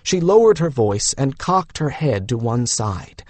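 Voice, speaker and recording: A man's voice: mid-range voice, sing-song, measured pace, darkish tone, no echo. A man reading a fantasy novel aloud as a scripted audiobook narration.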